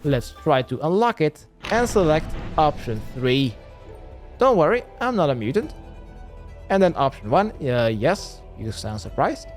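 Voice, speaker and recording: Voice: mid-range voice, sing-song, a little slow. A game guide narrator giving step-by-step walkthrough instructions and addressing the viewer directly. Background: music.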